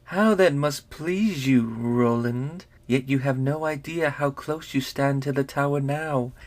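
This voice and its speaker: A man voicing a mocking, sinister fortune-telling sorcerer in a storybook reading, with theatrical, drawn-out phrasing. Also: mid-range voice, lightly acted. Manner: taunting, drawn-out, theatrical delivery.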